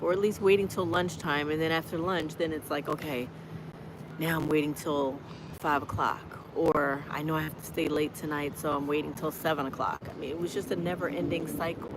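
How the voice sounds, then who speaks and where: Hoarse woman in her 50s, motivational vlogger, preachy and chatty, phone mic outside with traffic noise. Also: deep voice. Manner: encouraging, chatty, preacher-like exhortation. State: hoarse from a cold. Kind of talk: live-streamed walking vlog. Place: outdoors on a college campus. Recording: noisy outdoor street ambience.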